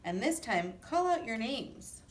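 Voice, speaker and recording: A woman's voice: on the high side, very animated, measured pace, roomy sound, recorded from a distance, a little hiss or hum. A woman voicing a caring, bossy grown-up female alphabet letter in a storybook, speaking in an emphatic, teacherly way. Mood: encouraging.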